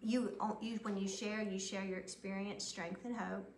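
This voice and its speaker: A woman's voice: mid-range voice, steady tone, normal speed, darkish tone. A woman participant in a Bible study, explaining casually in a group discussion. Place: reverberant room at a group meeting. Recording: echoey meeting room, faint background noise.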